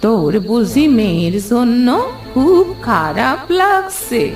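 Vengeful ancient female water spirit, cartoon fairy tale; drawn-out menacing delivery, swooping intonation, music underneath. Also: on the high side, sing-song, darkish tone, roomy sound, far from the mic, heavily acted. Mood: menacing, accusing. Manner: slow, menacing, accusing. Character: vengeful two-hundred-year-old female pond spirit.

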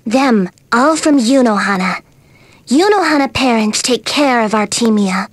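A woman's voice: on the high side, animated, measured pace, dry recording. A woman playing a loyal, childlike girl in a game, speaking in simple broken sentences about herself by name. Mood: simple, earnest. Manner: broken grammar, refers to self by name.

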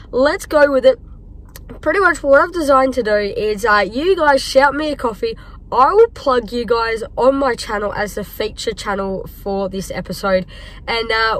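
An Australian woman in her thirties with a croaky voice, chatting casually to camera from a parked car on a phone held at arm's length. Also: a little high, a bit bright, low-quality recording. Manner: chatty, upbeat, many fillers. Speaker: vlogger. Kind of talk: casual vlog talk to camera.

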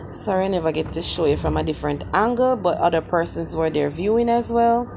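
Jamaican lady doing a chatty house tour on her phone, creaky voice, excited, bit of background noise. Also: medium pitch. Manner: enthusiastic, chatty, mixes in Jamaican Patois. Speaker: vlogger. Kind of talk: casual house tour vlog. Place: walking through show houses and outside them. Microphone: handheld phone.

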